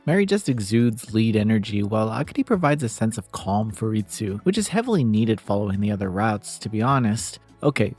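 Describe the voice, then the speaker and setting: A man's voice: mid-range voice, a little mellow. A man narrating a scripted game review in a casual manner, with wry asides.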